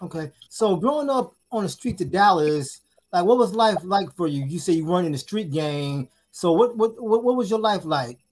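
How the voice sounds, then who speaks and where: A casual interviewer asks about someone's past and repeats words while working out each question. The voice sits at a middle pitch. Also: lively intonation, normal speed, darkish tone.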